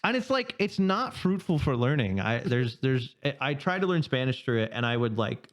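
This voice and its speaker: A man's voice: mid-range voice, sing-song, moderate pace. A young man co-hosting a podcast, chatting casually and rambling with half-finished sentences and laughs. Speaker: podcast co-host. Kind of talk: casual chat.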